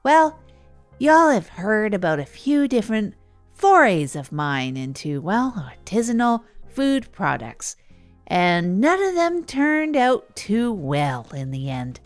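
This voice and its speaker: A woman's voice: medium pitch, sing-song, slow pace. Woman playing an awkward, rambling radio host over music. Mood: chatty, wry. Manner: hesitant, rambling, informal. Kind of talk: improvised comic monologue, chatty radio storytelling.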